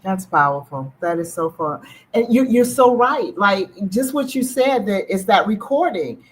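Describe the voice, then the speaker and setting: A woman host with a husky voice speaks in an interview. She responds to her guest with empathy, affirming and reflecting back what was said with emphasis. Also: mid-range voice, expressive, a little slow. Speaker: show host. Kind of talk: interview conversation.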